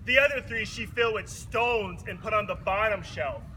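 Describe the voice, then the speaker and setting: A man's voice: sits high, normal speed, sharp tone, a little hiss or hum, poor audio. A man narrating a tale in a theatrical, scripted storytelling style.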